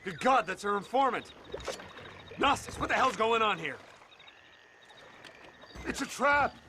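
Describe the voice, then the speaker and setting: A man's voice: high-pitched, lively intonation, a little slow, sharp tone, a little hiss or hum, heavily acted. A man playing an alarmed government lawman in a video game, calling out urgently in a raised voice. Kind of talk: video game character acting.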